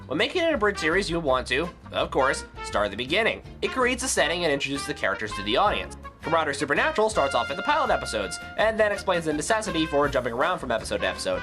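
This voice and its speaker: A man delivering a scripted critical review in a ranting, sarcastic, exasperated manner. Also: a little high.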